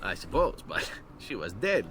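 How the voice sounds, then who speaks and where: An actor playing a roguish, flirtatious assassin in a video game, telling tales in a suave, glib, teasing way. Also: male voice, on the low side, big pitch swings, measured pace, bright, forceful tone, slight background noise, heavily acted. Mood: breezy, unrepentant. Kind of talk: video game dialogue acting.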